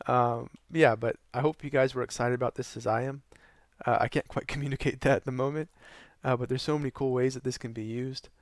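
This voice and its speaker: A young man narrating a gaming tutorial while losing his voice, hoarse and tired, rambling with many ums and uhs. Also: steady tone, a little fast, no echo, clean studio sound. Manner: tired, low energy. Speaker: gaming channel host. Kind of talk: casual tutorial narration over gameplay.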